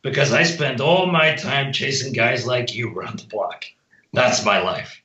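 A man's voice: medium pitch, expressive, measured pace, bright, forceful tone. A man playing a bitter, world-weary cop in an emphatic impression of movie dialogue. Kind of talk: film scene read as impression. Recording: slightly dull, roomy sound.

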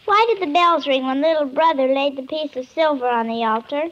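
A woman's voice: sits high, brisk, close to an everyday voice. A female performer playing a small, curious, innocent child in 1950s radio style. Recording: dull vintage 1950s radio recording.

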